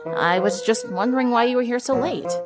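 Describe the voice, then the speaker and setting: A woman's voice: fairly high pitch, a little fast, pitched above the performer's own voice, natural voice. A woman voicing a confident, curious, pushy teenage girl with an assured, teasing manner in a story reading. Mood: reassuring, curious. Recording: music under the voice.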